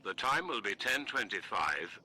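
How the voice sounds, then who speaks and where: A man playing a formal, measured speaking-clock announcer in a radio drama. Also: fairly low pitch, very animated, sharp tone, slight background noise, exaggerated voice. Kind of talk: formal telephone time announcement in a radio play.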